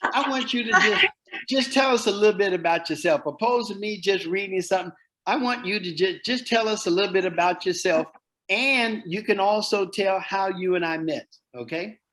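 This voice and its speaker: A man's voice: high voice, normal speed, pushed and bright. A man hosting an online company call, introducing guests in an upbeat, repetitive way. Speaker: host.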